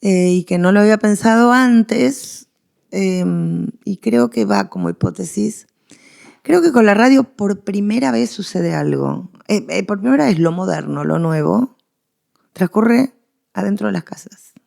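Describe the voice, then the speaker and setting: A woman's voice: medium pitch, big pitch swings, a little slow, vocal fry, darkish tone. A middle-aged woman with an Argentine accent explains her ideas emphatically in a conversational academic interview, repeating phrases to drive them home. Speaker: guest historian interviewee.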